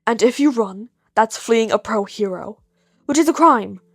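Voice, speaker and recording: A woman voicing a gruff, dry, annoyed grown man, a stern hero, in a deadpan, slow manner for an audio story. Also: on the high side, dry recording, heavily acted. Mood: smug, stern.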